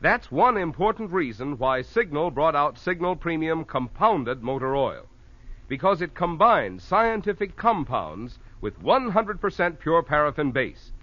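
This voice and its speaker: A man's voice: a little high, very animated, unhurried. A male announcer doing 1940s radio sponsor announcements in a polished, emphatic style. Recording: dull old 1940s radio recording.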